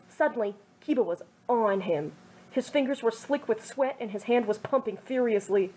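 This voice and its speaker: A young woman reading her own fan fiction aloud in a fairly high voice. Between passages she drops into wry, self-mocking asides full of little fillers. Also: a little mellow, low-quality recording.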